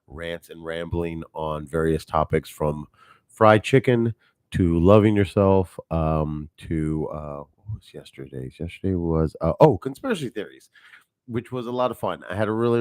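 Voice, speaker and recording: Low-voiced man hosting podcast. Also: expressive, moderate pace, darkish tone, dry recording, clean studio sound. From Texas. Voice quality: nasal at times. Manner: rambling, chatty, talks to viewers. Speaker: podcast host. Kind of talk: live podcast chat. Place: home studio. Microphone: studio mic on a mixing board.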